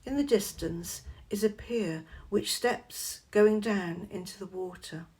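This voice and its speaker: Female meditation guide, medium pitch, very unhurried scripted delivery, gentle and measured. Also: rough recording.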